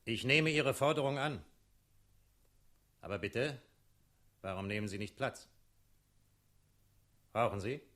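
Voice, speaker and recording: A male actor playing a cold, imperious dragon in a gentleman's shape, formal and clipped, in a 1960s radio play. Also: mid-range voice, lively intonation, takes it slowly, long pauses. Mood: coldly courteous. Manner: formal, clipped, menacing courtesy.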